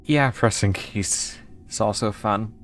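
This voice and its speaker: A woman's voice: very deep voice, slow, slight background noise. A woman from the United States streaming a game and chatting casually with her viewers, easygoing and rambling as she answers chat between moves.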